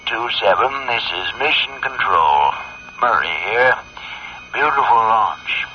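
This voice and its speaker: A man playing a brisk, businesslike mission control chief in a radio drama, heard through a radio transmission filter. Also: fairly low pitch, animated. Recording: tinny radio link with static noise.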